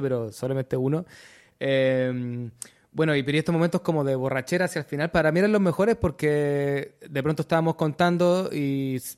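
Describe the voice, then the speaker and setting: A man's voice: a little high, a little mellow, smooth. Hesitant storyteller man. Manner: hesitant, many restarts and fillers. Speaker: storyteller guest. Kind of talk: casual panel conversation.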